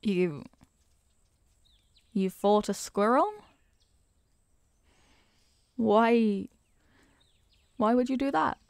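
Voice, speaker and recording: Woman acting as young apprentice witch, fairly high voice, bubbly, chatty, kindly, teasing, close dry recording, ASMR roleplay. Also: drawn-out words, creaky, long pauses, no echo, heavily acted. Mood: amused, puzzled. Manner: bubbly, teasing, expressive, addressing the listener. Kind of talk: ASMR character roleplay, scripted.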